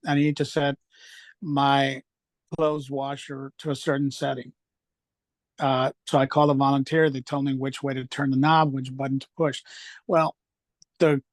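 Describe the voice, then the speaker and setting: A man's voice: medium pitch, no echo. A man speaking conversationally and with enthusiasm in an informal group discussion, presenting apps from his own experience. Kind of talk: informal discussion at an online event.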